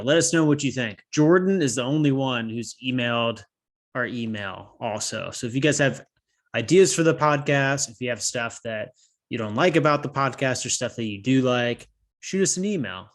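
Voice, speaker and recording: A man co-hosting a casual podcast, rambling and losing his train of thought, with a slightly nasal voice. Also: mid-range voice. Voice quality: a bit nasal. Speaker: podcast co-host. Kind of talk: casual chat.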